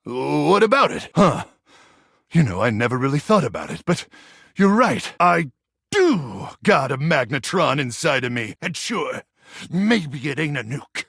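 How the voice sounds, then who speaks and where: A man voicing a macho, melodramatic microwave who acts like a gung-ho action hero in a video game, with a gravelly, husky voice and bombastic, theatrical delivery. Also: a little high, very animated, measured pace, no room sound, clean studio sound, heavily acted. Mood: dawning pride. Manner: bombastic, theatrical swings from brooding to shouting. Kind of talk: video game character acting.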